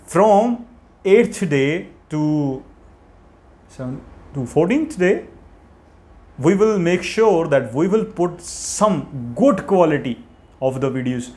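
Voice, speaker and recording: A man's voice: fairly high pitch, expressive, slow, pauses often, a little mellow. Earnest young man. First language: Punjabi. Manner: thinking aloud with frequent restarts and self-corrections; earnest and motivational. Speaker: vlogger and English-learning challenge host. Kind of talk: unscripted casual talk to camera.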